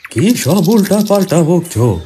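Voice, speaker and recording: A man voicing a scornful, alarmed older village head, in an animated horror story. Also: high-pitched, unhurried, a little hiss or hum, voice pushed up.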